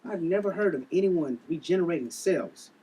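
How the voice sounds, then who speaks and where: A man's voice: on the high side, animated, normal speed, warm tone, lightly acted. A man at a table read playing an easygoing, supportive young man who is smitten. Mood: tender. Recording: distant mic, faint background noise.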